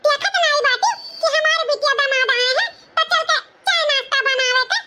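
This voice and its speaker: Shrill, bossy Bhojpuri village mother. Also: a little fast, bright, forceful tone. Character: doting but bossy village mother and mother-in-law. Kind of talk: comedy cartoon voice acting.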